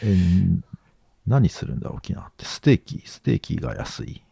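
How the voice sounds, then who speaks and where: A man chats casually on a podcast in a low, gravelly voice. The tone is dark and relaxed, recorded close and dry. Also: normal speed, dry recording. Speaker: podcast speaker. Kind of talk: casual chat.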